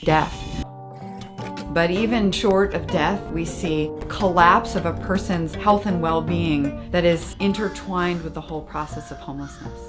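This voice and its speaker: Give me a woman clinician speaking calmly, with music playing under her. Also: fairly low pitch, measured pace. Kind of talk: documentary interview.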